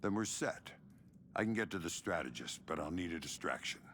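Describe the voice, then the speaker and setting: An actor playing a calm, disciplined veteran covert operative in a video game, giving terse, measured tactical talk. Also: male voice, sits low, slight background noise. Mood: calm, focused. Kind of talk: cinematic game acting.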